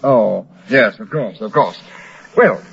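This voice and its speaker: A man's voice: lowish voice, animated, takes it slowly, creaky, fairly bright tone, husky, full character voice. An actor playing a pompous, genial con man posing as a colonel, with flowery, hesitating speech, in a 1940s radio drama. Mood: smug, genial. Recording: noisy, dull old-time radio recording.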